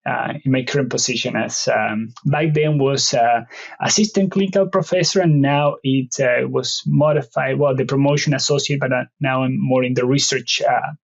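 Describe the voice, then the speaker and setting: A man in his late thirties with an Argentine Spanish accent, talking through his answers in a loose, conversational way. He stops often with "uh" and restarts his sentences. His voice sits at a medium pitch, and the audio is slightly dull and lo-fi. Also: fairly even intonation, normal speed, gravelly. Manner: hesitant, many "uh" fillers and restarts. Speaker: veterinary research professor, podcast guest.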